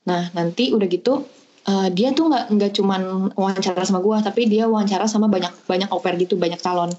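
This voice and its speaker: A woman's voice: medium pitch, brisk, darkish tone, muffled recording, slight background noise. A young woman from Bandung, a podcast guest, chatting casually and explaining things step by step with many hesitations over a remote call. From Bandung, Indonesia. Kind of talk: casual chat.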